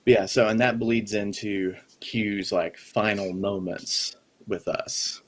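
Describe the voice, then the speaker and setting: A man with a fairly low voice co-hosting a casual podcast chat over a call. He muses aloud in a thoughtful, wandering way and often trails off mid-sentence. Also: steady tone, takes it slowly. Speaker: podcast co-host.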